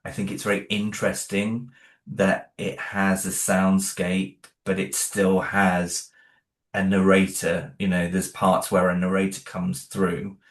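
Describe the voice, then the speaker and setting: A man's voice: low-pitched, monotone, a little slow. A man, the creator of an audio drama, talking in a casual interview. He is modest and hesitant, with many restarts. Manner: modest, self-deprecating.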